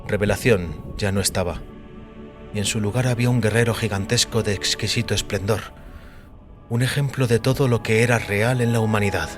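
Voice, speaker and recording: A man's voice: on the low side, steady tone, a little fast. A man reading scripted dramatic fiction as the narrator of an audio story. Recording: music under the voice. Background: background music.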